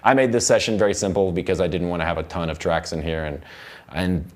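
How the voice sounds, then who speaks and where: American guy with a low voice walking thru studio software, chatty, kinda thinking out loud. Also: fairly even intonation, fast. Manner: informal, thinking aloud. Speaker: audio production instructor. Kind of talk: casual software tutorial, explaining step by step. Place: recording studio control room.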